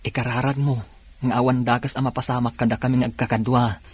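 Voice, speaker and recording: A man playing a brisk, matter-of-fact police officer in a radio drama. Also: medium pitch, level tone, breathy edge, no echo, lightly acted. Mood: urgent. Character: steady male police officer. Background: drama music.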